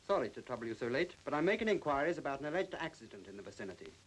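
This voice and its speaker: Actor as a brisk, fast-talking English police sergeant. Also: male voice, medium pitch, sing-song, close to an everyday voice. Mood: brisk, probing. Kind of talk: 1950s British film acting. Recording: faintly noisy old film soundtrack.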